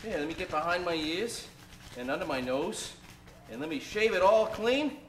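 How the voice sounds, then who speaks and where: A man's voice: sits high, expressive, moderate pace, a bit bright, roomy sound. A man playing a down-on-his-luck fellow in an old-time radio comedy, hammy and full of period slang. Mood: hopeful. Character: grumbling, down-on-his-luck man trying to buck up.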